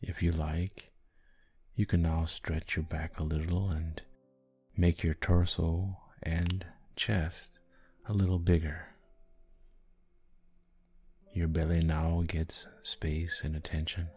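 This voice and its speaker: A man guiding a sleep hypnosis in a soft, calming, gentle voice with long pauses between short phrases. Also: deep voice, slightly rough. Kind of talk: guided sleep hypnosis, soothing narration. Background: background music.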